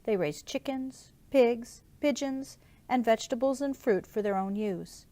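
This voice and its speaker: Measured woman reading aloud. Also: on the high side, warm tone. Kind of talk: audiobook-style scripted narration.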